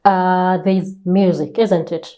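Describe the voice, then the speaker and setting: Kenyan lady chatting, lowish mellow voice, repeats stuff for emphasis, lots of rhetorical questions. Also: steady tone, slow pace. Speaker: guest author. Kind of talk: animated conversational storytelling.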